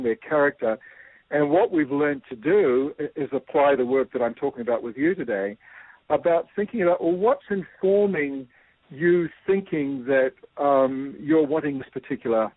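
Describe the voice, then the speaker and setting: A man's voice: mid-range voice, no echo. Kiwi guy telling a story, lots of ums, sounds like a phone line, dry. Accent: New Zealand. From New Zealand. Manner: thoughtful, many fillers. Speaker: guest. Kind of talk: explaining with a personal story.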